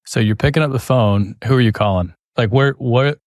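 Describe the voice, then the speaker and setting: A man's voice: mid-range voice, moderate pace, no room sound, clean studio sound. A man hosting a podcast, probing with rephrased questions. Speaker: podcast host. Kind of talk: interview questions.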